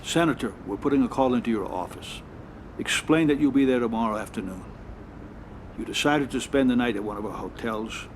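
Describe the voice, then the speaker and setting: An actor playing a calm, dry, calculating mafia consigliere in a video game cutscene. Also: male voice, mid-range voice, fairly quick, a little hiss or hum, natural voice. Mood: dry menace.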